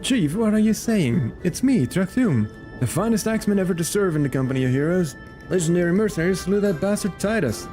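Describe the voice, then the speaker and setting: A man's voice: high-pitched, expressive, a little fast, dark tone, soft background music, pitched above the performer's own voice. A man voicing a boastful, shifty male axeman mercenary from a game, blustering and stammering. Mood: blustering, nervous. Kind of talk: reading game dialogue aloud in character.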